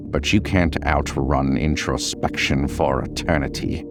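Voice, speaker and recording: A man playing a cryptic, disdainful Count who delivers hard truths in a grave, measured, condescending way in an audio story. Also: rumbling low, fairly even intonation, music in the background. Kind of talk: dramatic audio fiction.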